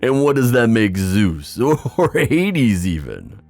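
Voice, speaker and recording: A man playing a wistful, theatrical sea god in an ASMR fantasy roleplay, musing with hesitant pauses and drawn-out phrasing. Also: mid-range voice, big pitch swings, a little mellow, no echo. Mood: thoughtful, skeptical.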